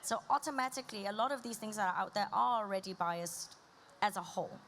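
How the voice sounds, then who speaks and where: A woman from Malaysia with a British accent, speaking as a panelist and explaining her points in a measured way. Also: mid-range voice, a bit bright, a little hiss or hum. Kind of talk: conference panel talk.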